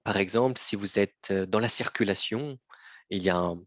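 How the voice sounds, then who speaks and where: Male teacher, patient and repetitive, dry close sound, low-ish breathy voice. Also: moderate pace, no echo. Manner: patient, repeats phrases, often checks with "d'accord?". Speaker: French teacher. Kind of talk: slow lesson for learners.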